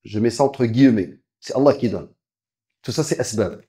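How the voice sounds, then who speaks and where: A man giving a religious lecture, explaining step by step, often restarting his sentences and quickly reciting Arabic verses. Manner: frequent halting restarts, lively swings in pitch and volume. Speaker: preacher.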